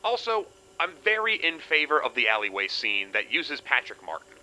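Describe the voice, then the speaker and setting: A man's voice: medium pitch, big pitch swings, moderate pace, background noise, lightly acted. A man voicing an opinionated writer reading out a letter in an emphatic, articulate way. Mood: earnest, opinionated. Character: opinionated male lyricist reading out his written film notes.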